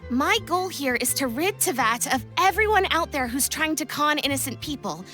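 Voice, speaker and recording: A woman's voice: high voice, lively intonation, normal speed, sharp tone, faint music behind. A woman playing a confident, enthusiastic young inventor in a video game, explaining things in a bubbly, persuasive, theatrical way. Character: Lapine Pauline, confident, enthusiastic young female tech inventor.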